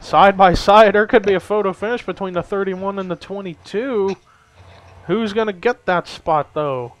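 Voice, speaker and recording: A man's voice: sits high, normal speed. A young man giving casual play-by-play commentary on a simulated race, chatty and lifting his energy at the big moments. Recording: faint background noise.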